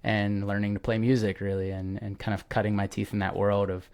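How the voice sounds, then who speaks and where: A man's voice: lowish voice, flat delivery, a little fast, a little mellow, clean tone. A young man hosting a podcast, chatting casually and enthusiastically, with lots of fillers and restarts. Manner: enthusiastic, rambling with many fillers. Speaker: podcast host. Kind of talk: casual interview chat.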